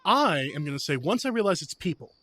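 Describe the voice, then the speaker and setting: A man's voice: a little high, very animated, fast talker, bright, forceful tone. A man playing a tabletop role-playing game, casually describing his character and the scene. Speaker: player.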